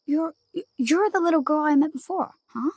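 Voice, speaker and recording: A woman's voice: high-pitched, normal speed, darkish tone, dry recording, studio quality, lightly acted. A woman voicing an innocent, polite young boy hero with an American accent in a fantasy game. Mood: bewildered. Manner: naive, questioning, earnest. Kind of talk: animated fantasy game voice acting.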